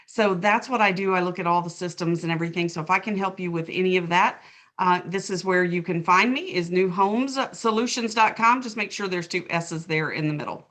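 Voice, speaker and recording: A woman's voice: on the low side, fairly quick, a bit bright. A woman co-hosting a panel webinar over a video call, chatty and tripping over names now and then. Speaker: webinar co-host, management consultant. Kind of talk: casual panel chat.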